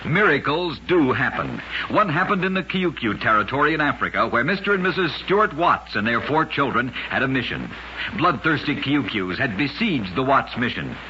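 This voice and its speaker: A man narrating scripted curious true tales for radio in a measured, dramatic announcer style. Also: mid-range voice, sing-song, pushed and bright. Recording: dull lo-fi radio recording, faint noise.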